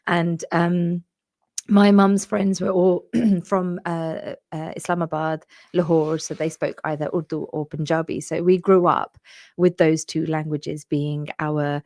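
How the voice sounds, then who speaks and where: Middle-aged woman from England, radio host; lowish voice, dry studio sound, hesitant and reflective. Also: little pitch movement, measured pace, darkish tone, no echo. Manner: reflective, hesitant with many fillers. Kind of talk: casual radio chat. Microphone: studio microphone.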